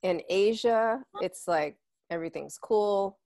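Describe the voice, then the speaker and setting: A woman's voice: medium pitch, unhurried, warm tone, no room sound. A woman hosting a podcast interview, chatty and full of filler words. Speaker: podcast host.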